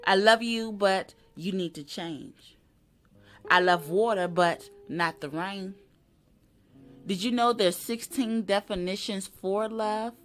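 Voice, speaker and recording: A woman giving a dramatic poetry reading in a deliberate, expressive manner. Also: mid-range voice. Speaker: poetry reader. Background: acoustic guitar music.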